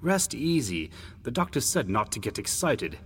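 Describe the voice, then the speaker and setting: Man voicing a hearty big brother, pitched up. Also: very animated, a bit bright. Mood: reassuring. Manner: reassuring, brisk, protective. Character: hearty, kindly young English elder brother in drabs. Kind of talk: audio storybook character voice. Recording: long hall reverb, background noise.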